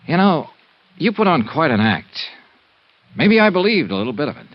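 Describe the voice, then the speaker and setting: An American actor playing a tough, wry private investigator with clipped delivery in a 1950s radio detective drama. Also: male voice, on the high side, sing-song, moderate pace, dry recording. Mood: cynical. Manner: clipped, wry, hard-boiled. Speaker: lead actor and first-person narrator. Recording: muffled vintage radio recording.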